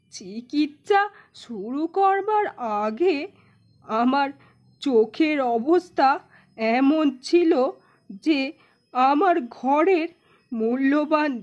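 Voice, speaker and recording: A woman's voice: high-pitched, a little mellow, voice pushed down, exaggerated voice. A woman voicing a poor old village woman who speaks humbly and pleadingly. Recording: dull lo-fi narration track.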